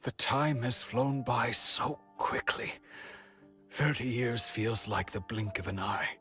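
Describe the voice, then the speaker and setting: A man's voice: medium pitch, fairly bright tone, faint music behind. An actor playing a wistful, resigned middle-aged village man in a video game, musing and patient. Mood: musing, nostalgic, then wistful, sad. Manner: slow, musing, sighing delivery.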